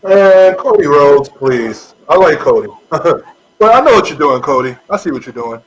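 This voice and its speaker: A man co-hosting a casual wrestling podcast, talking in an animated way and addressing wrestlers directly. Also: a little high, normal speed, breathy edge, poor audio. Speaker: podcast co-host. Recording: faint background noise.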